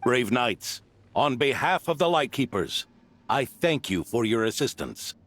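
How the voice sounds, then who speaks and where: An actor playing the polite, formal leader of an allied order in a video game, speaking courteously. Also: male voice, mid-range voice, big pitch swings, a little slow, a bit bright. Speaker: allied commander.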